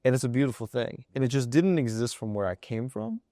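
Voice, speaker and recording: A man's voice: medium pitch, lively intonation, a little fast, mellow, dry recording. A man from the Chicago suburbs, a fashion designer guest in a studio interview, speaking earnestly with many restarts. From Chicago suburbs, USA. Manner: earnest, restarts a lot, says "yo" and "of course". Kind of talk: casual interview chat.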